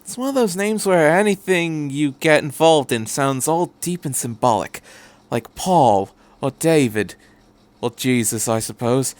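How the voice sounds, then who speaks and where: Storybook time traveller, performed by a male reader: chatty, excitable eccentric, lively higher pitch, dry recording. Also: unhurried, no echo. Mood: cheerful, chatty. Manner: excitable, rambling, breezy. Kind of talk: fan fiction reading.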